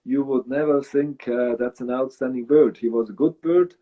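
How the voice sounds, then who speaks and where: A Swiss man with a Swiss German accent, speaking English as a guest in a casual interview, thoughtful and halting with many fillers. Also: mid-range voice, lo-fi sound. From Switzerland. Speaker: guest breeder.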